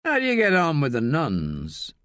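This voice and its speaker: A man voicing a persistent foreign investigator who presses with calm, probing questions. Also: on the high side, normal speed, no room sound, clean studio sound.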